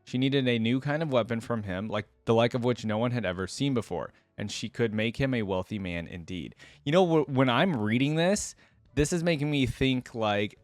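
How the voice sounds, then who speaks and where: A man's voice: mid-range voice, moderate pace, no echo. A man hosting a reaction video, speaking informally, reading aloud and commenting casually into a close mic. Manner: informal, drops in side comments while reading.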